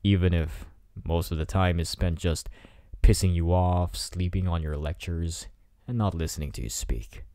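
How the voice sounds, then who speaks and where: A man's voice: sits low, normal speed, mellow, dry recording, close to an everyday voice. A man playing a cocky, teasing teenage delinquent student in an ASMR roleplay, with a smug, taunting delivery.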